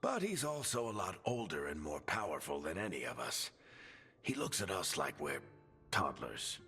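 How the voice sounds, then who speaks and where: An actor voicing a wry older dwarf mentor in a video game, husky and gravelly, with a sardonic, reassuring way of talking. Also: male voice, sits low, sing-song, moderate pace, sharp tone, heavily acted. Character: wry older dwarf man, battle-worn mentor and storyteller. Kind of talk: video game dialogue acting. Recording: reverberant hall, music underneath.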